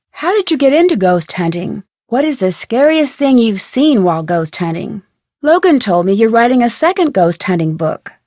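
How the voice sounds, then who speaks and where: Casting: young female sleuth in a mystery game; higher-pitched, curious, determined, questioning delivery, close dry recording. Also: darkish tone, no room sound. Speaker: video game voice actress. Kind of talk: scripted game dialogue, conversational questions.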